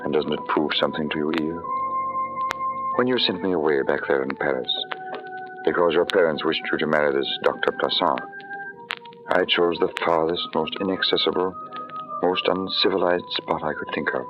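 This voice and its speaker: An actor playing an ardent, brooding settler in an old radio drama, speaking in an earnest, formal, dramatic way. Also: male voice, extremely low pitch, moderate pace, over music. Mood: ardent, intense. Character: ardent, brooding male settler in a colonial outpost. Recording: muffled, noisy old radio recording.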